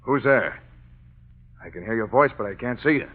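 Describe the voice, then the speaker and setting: An actor playing an earnest, authoritative prison warden in vintage radio drama, with a formal, measured delivery. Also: male voice, mid-range voice, lively intonation, close to an everyday voice. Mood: uneasy. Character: earnest authoritative male prison warden, businesslike, somewhat jumpy. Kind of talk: 1930s-40s radio drama acting. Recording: tinny narrow-band vintage radio recording.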